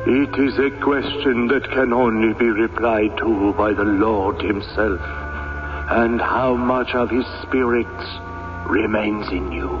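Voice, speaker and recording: Solemn, slow ghostly bishop, music behind. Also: male voice, on the low side. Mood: grave, compassionate. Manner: solemn, measured, formal. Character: solemn, saintly bishop appearing as a vision. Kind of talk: old-time radio drama acting. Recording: muffled old radio recording.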